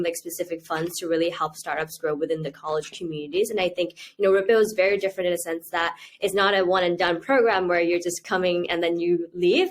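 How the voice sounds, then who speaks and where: Young woman from Thailand, earnest. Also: fairly low pitch. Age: 20s. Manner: earnest, many "you know" and "like" fillers. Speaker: interviewee, university student. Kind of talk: casual video-call interview.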